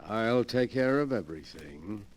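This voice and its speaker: An actor playing a cold, suave gentleman schemer with smooth, condescending manners in a 1940s radio mystery melodrama. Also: lowish voice, animated, a little slow, slight background noise. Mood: calm, coaxing. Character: cold, cunning gentleman schemer, suavely soothing his victims. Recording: 1940s radio broadcast recording.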